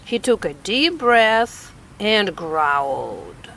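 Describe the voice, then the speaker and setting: A woman reading a children's picture book aloud, expressive and deliberate, on a close mic. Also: medium pitch, gravelly, a little hiss or hum. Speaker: children's story reader. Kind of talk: read-aloud storytelling.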